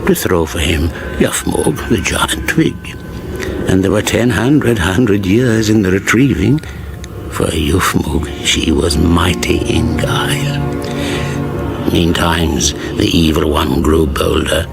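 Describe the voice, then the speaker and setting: Solemn epic chronicler, deadpan comedy narration. Very slow, deep, portentous, music underneath. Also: male voice, vocal fry, full character voice. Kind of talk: mock-epic scripted radio comedy narration.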